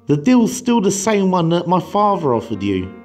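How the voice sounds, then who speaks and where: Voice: medium pitch, very animated, moderate pace, darkish tone, lightly acted. A performer voicing a weary, fearful middle-aged man who leads a cult, speaking hesitantly in a motion comic. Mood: coaxing. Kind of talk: motion comic character dialogue. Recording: prominent music under the voice.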